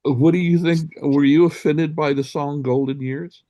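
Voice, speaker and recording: Male panelist, halting delivery, lots of ums, deadpan jokes, close dry sound. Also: on the high side, no room sound. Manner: hesitant, many "um"s, dry jokes. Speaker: music show panelist. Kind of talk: casual panel chat about albums.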